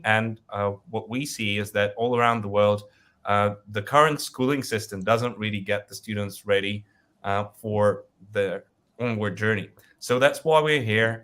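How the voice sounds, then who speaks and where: A man's voice: lowish voice, a bit bright. A man from Russia, presenting his company in a webinar with frequent hesitations and fillers.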